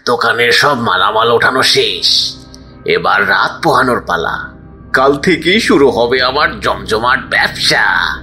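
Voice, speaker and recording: A man's voice: medium pitch, expressive, unhurried, bright, forceful tone, faint music behind. A man playing a greedy, scornful cartoon shopkeeper who is scheming and self-important. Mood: scheming, pleased. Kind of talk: cartoon story character dialogue.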